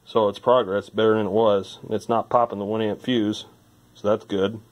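Low-voiced man musing casually. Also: little pitch movement, normal speed. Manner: informal, musing aloud. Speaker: hobbyist electronics repairer. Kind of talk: casual hands-on repair commentary. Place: indoor workbench.

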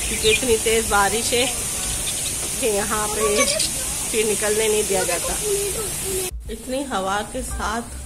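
A woman in her thirties with a sore throat, chatting and laughing as she films her home on a phone. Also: mid-range voice. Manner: chatty, laughs often, talks to the viewers. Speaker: vlogger. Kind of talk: casual home vlog chat. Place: apartment by a window, rain outside. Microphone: handheld phone camera. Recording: phone recording with loud rain noise.

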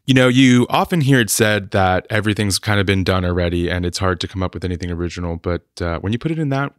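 A man's voice: low voice, quick pace, no room sound, clean studio sound. A man hosting a podcast interview, asking earnest, conversational questions. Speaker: podcast host.

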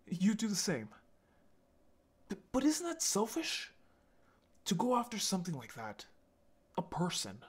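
A man playing a lonely, apologetic young male zombie in an audio roleplay, speaking in a halting, intimate way. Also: mid-range voice, husky, full character voice. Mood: apologetic, wistful. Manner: halting, stammering, sighing.

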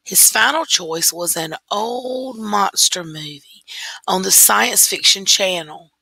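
A woman teacher reading a story aloud slowly and deliberately into a computer microphone. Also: medium pitch, creaky, sharp tone, slightly rough, no echo, rough recording. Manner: slow, deliberate read-aloud.

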